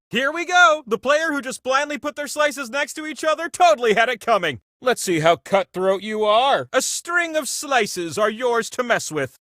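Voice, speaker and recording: An actor playing a talking game-show wheel, a chatty and grandiose immortal deity who hosts a video game with theatrical, playful pomp. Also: male voice, extremely high pitch, bright, forceful tone, no room sound, clean studio sound, heavily acted. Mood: excited, urging. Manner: theatrical, playful, pompous proclamations mixed with chatty asides. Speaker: game host.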